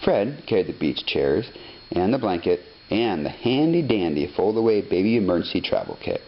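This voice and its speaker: A man narrating a children's story expressively, reading deliberately phrase by phrase. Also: low voice, sing-song. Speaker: storybook reader. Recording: dull lo-fi sound, faint noise.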